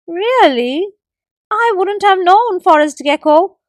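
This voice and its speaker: A proud, somewhat greedy squirrel hunter who teases and wheedles in a high, bouncy voice from a storybook, with a woman doing the voice. His pitch swoops widely. The recording is close and dry. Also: expressive, takes it slowly, no room sound, exaggerated voice. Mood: wheedling. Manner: teasing, wheedling.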